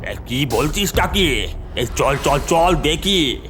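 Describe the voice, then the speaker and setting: A man's voice: fairly high pitch, animated, takes it slowly, fairly bright tone, slight background noise, pitched above the performer's own voice. A man voicing a stern, commanding exorcist in a horror cartoon, scolding and giving orders. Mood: indignant. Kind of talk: cartoon horror story acting.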